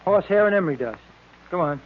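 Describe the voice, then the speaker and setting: Excitable police sidekick, American, high voice, blunt and folksy, puzzled, noisy tinny old radio drama. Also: male voice, fast talker. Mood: skeptical, curious. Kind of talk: old radio serial acting.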